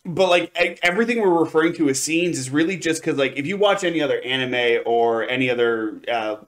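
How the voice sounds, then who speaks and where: A man's voice: medium pitch, animated, fast talker. A man co-hosting a review show, talking casually in a rambling, analytical way and qualifying his points. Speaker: co-host of an anime review show. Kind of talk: casual chat, review commentary.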